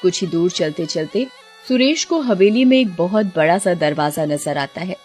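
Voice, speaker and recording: A woman's voice: lowish voice, expressive, moderate pace, a little mellow, soft background music. A woman giving scripted storybook narration for a cartoon.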